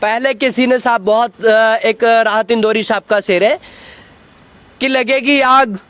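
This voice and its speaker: A man's voice: very high voice, steady tone, a little slow, fairly bright tone. A man being interviewed in a heated political argument, combative and insistent, repeating his phrases and rhetorical questions. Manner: combative, cuts in to demand a hearing. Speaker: interviewee in a street political debate.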